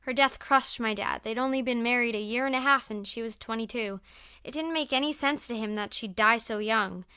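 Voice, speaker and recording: A young woman acting an earnest, somewhat hesitant high school girl in a naturalistic audio drama. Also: brisk, bright, forceful tone, clean tone, no room sound, clean studio sound. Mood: subdued, reflective. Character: earnest, reserved teenage high school girl raised on the mainland.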